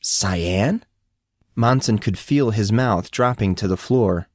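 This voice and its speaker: A man's voice: on the low side, measured pace, a little mellow, dry recording, clean studio sound. A man narrating a scripted fantasy story as an audiobook narrator.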